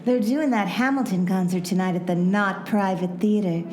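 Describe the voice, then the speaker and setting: Brassy noir waitress-informant, played by a woman: knowing and curt, mellow tone, roomy echo. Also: mid-range voice, moderate pace, natural voice. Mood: knowing, wary. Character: brassy, world-weary female server and underworld informant. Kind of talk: noir radio play acting. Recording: roomy echo, faint music bed.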